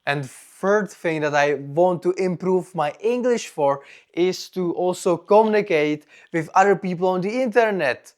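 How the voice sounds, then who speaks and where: A young man from the Czech Republic, a native Czech speaker with a strong Czech accent, gives a personal vlog monologue in deliberate, simple non-native phrasing. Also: sits high, darkish tone. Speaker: vlogger.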